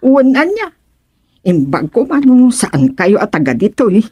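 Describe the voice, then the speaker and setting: A woman's voice: mid-range voice, huge pitch swings, a little slow, dark tone, slightly rough, dull audio, heavily acted. A woman with a Filipino accent playing a proud, emphatic village councilwoman in a radio comedy-drama. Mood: proud, chatty. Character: village councilwoman, proud of her neighbourhood's unity.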